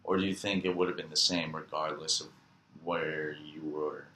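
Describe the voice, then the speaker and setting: A man's voice: a bass voice, fairly even intonation, moderate pace, pushed and bright. A man interviewing, asking long, rambling questions full of "um" and "like". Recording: echoey room with faint hiss.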